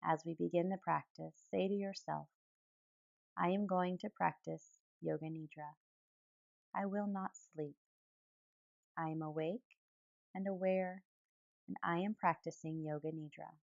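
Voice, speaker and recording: A woman guiding a yoga nidra meditation in calm, gentle phrases with pauses between them. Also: fairly low pitch, creaky, no room sound, muffled recording. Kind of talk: guided relaxation meditation, soothing instruction.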